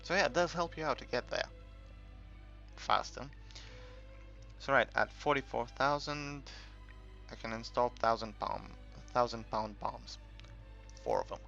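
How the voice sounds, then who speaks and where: A man giving casual, unscripted game commentary in a halting, rambling way, as if thinking aloud while he explains things. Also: medium pitch, vocal fry, pushed and bright. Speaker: gaming video creator narrating a tutorial. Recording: dull lo-fi home recording, music behind.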